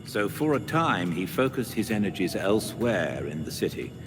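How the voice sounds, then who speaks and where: A man's voice: lowish voice, very animated, background noise. A man playing a formal, dryly witty older butler and mentor in a video game cutscene. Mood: gently advising. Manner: formal, measured, dry. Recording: music behind.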